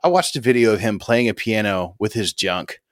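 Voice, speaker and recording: A man's voice: lowish voice, animated, normal speed, no room sound, clean studio sound. A man co-hosting a podcast, chatting casually in a rambling, opinionated way and swearing freely. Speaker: podcast co-host. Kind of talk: casual chat.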